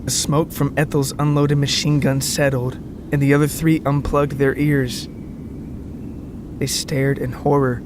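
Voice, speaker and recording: A man's voice: medium pitch, level tone, unhurried, background noise. A man narrating a scripted podcast story in a dramatic, tongue-in-cheek way. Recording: music behind the voice. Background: background music.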